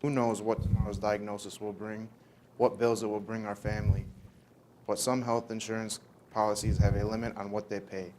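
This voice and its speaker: A young man playing a serious senator, reading a measured scripted speech in readers theater. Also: on the low side, flat delivery, darkish tone, lightly acted. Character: serious senator defending health care law. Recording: noisy live room recording.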